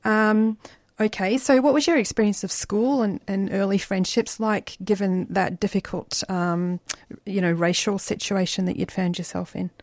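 A woman interviewing a guest, asking gentle questions and backing them with short listening sounds. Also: medium pitch, steady tone, moderate pace, few pauses, no echo.

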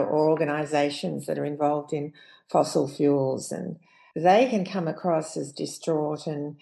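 A woman giving her view as an expert guest, halting and thinking aloud. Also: deep voice, vocal fry, warm tone. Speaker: psychologist guest. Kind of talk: reflective interview talk.

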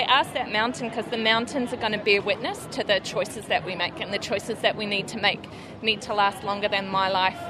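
A woman's voice: mid-range voice, noisy background. A woman with a New Zealand accent explaining her views earnestly and a little hesitantly as an interviewed protester. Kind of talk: unscripted explanation in street interview. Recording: street recording with music and crowd. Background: music and crowd.

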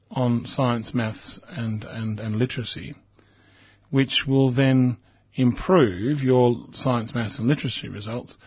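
A man with an Australian accent and a croaky, hoarse voice, hosting talk radio with many ums and repeated words. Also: mid-range voice, dry recording, highs cut off. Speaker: radio host. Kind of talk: casual talk radio commentary.

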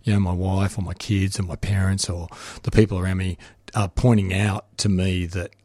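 A man's voice: sits low, level tone, moderate pace, darkish tone, no room sound. A middle-aged man talking as a guest, speaking frankly and reflectively about himself in an open conversation. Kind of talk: candid conversation.

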